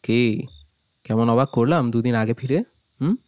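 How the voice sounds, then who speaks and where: A man's voice: mid-range voice, unhurried, a little mellow, clean tone, lightly acted. A man playing an affectionate husband who teases and chides tenderly, in audio drama style. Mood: playful, affectionate. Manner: tender, chiding, teasing. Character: affectionate, playfully teasing husband returning home. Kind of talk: Bengali audio drama acting.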